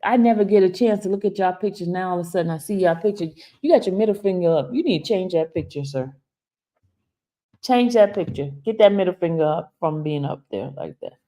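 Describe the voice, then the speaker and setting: A woman's voice: fairly low pitch, animated, a little fast, warm tone. A woman in her fifties hosting a casual live stream, teasing viewers by name and talking earnestly about her stress. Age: 50s. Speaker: livestream host reading viewer comments.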